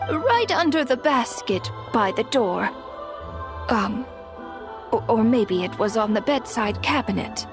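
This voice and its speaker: A doting old village grandmother in a video game, frail and forgetful. Her creaky voice moves slowly and swings up and down as she hesitates and fusses, with soft music underneath. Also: fairly high pitch, big pitch swings. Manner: hesitant, stammering, fond and fussing. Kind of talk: video game cutscene acting.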